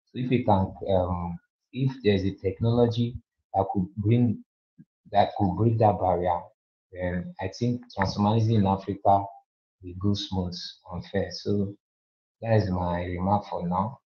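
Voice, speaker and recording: A man speaking earnestly and haltingly as a panelist on a video call. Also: low voice, steady tone. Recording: dull lo-fi call audio.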